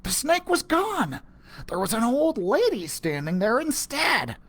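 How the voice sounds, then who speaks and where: A man narrating a children's storybook with a playful, animated delivery. He reads slowly, and his pitch leaps and falls across every line. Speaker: children's librarian reading aloud. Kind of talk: storybook read-aloud.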